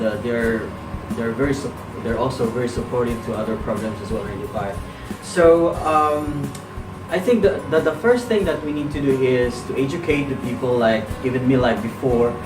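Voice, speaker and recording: A man hosting a talk show interview in a halting, polite and formal manner. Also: medium pitch. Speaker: guest host. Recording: echoey, distant mic, music clearly behind. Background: music.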